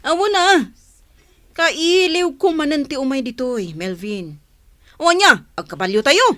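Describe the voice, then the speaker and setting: A woman's voice: high-pitched, animated, measured pace, sharp tone, no echo, heavily acted. A woman playing a cheerful young woman visiting friends in a radio comedy drama, chatty and teasing.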